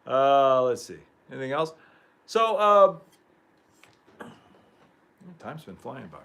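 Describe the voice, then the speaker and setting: A man hosts a relaxed livestream chat in a mid-range voice. He rambles, chuckles and keeps clearing a slightly hoarse throat. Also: mid-range voice, extremely slow. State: losing his voice. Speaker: livestream host.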